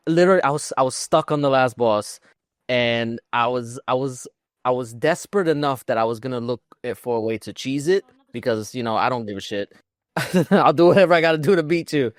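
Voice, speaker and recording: A man's voice: medium pitch, a little fast, dry recording. Man chatting casually, clean dry sound. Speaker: podcast co-host. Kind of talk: casual chat about video games.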